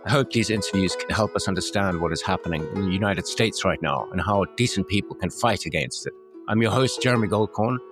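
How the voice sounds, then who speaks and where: A middle-aged man hosts an interview podcast in a measured, articulate way. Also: low voice, over music. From South Africa. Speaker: podcast host.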